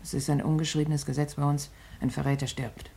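A woman's voice: sits low, level tone, a little fast, creaky, warm tone, breathy edge, heavily acted. An actress in a 1950s German radio drama playing a hard-living, guarded young street woman, blunt, bitter and fatalistic. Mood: bitter resignation.

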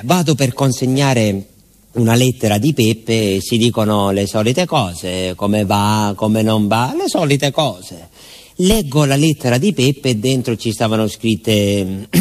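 A man's voice: mid-range voice, close to an everyday voice. An actor playing a garrulous, sly village postman with a southern Italian accent, telling his story as a colloquial, confiding stage monologue. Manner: colloquial, dialect-tinged, confiding asides to a listener.

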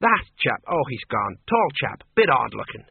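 A man's voice: medium pitch, big pitch swings, moderate pace, creaky, husky, no room sound, exaggerated voice. A man voicing a cheerful, chatty, plodding watch sergeant in an audiobook, in a plain good-natured manner. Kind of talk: audiobook character voice. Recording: narrow phone-like radio sound.

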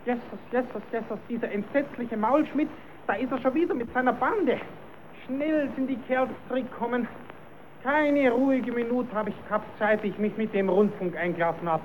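A noisy old radio recording of a cheeky clown trickster with a very high, piping voice. He is theatrical and comic, like a puppet-show rascal in a radio play. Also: normal speed, echoey room, big-room sound, far from the mic, phone-quality sound. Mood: flustered. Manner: theatrical, talks to himself.

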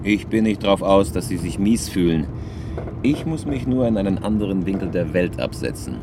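A man's voice: low voice, big pitch swings, moderate pace, background noise. A man playing a terse, unruffled career criminal with dry irony in a German radio crime drama. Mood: cool, terse. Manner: laconic, unruffled, dryly ironic. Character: cool, terse male career criminal on the run.

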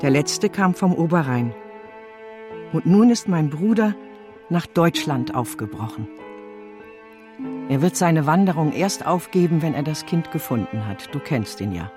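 A performer playing a warm-hearted, homely old nurse reading out an affectionate letter in a literary radio play. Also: mid-range voice, sing-song, a little slow, long pauses. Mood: affectionate, concerned. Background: prominent violin music.

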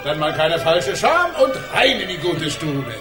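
A folksy dad in a children's radio play, grumbling and hearty by turns. His voice moves animatedly in pitch, in a roomy space with loud music along with it. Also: sing-song, unhurried.

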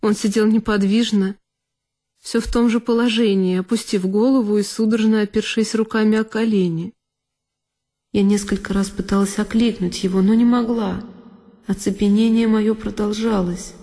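An actress playing a frightened young girl who recalls a dreadful night in a measured, literary audio drama narration. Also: medium pitch, steady tone, dark tone, natural voice. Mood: tense, watchful. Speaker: solo performer of an audio drama. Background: music behind the voice.

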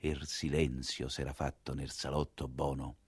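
A man with a Roman accent narrating a monologue as an actor, theatrical and expressive, in dialect storytelling style. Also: a bass voice, normal speed, husky.

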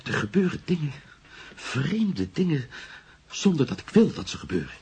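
A man's voice: fairly high pitch, big pitch swings, normal speed, a little mellow, breathy edge, exaggerated voice. An actor playing a small, nervous, secretive alderman in a radio play, polite and fearful, speaking in hesitant, agitated phrases.

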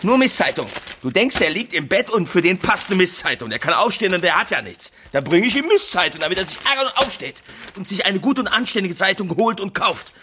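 A man's voice: high-pitched, big pitch swings, a little fast, hardly any pauses, pushed and bright, a little hiss or hum, heavily acted. An actor playing a bedridden, bitter, abusive sick man who grumbles and rants in a radio drama. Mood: sour, contemptuous. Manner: grumbling, cantankerous, ranting. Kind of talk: German radio drama acting.

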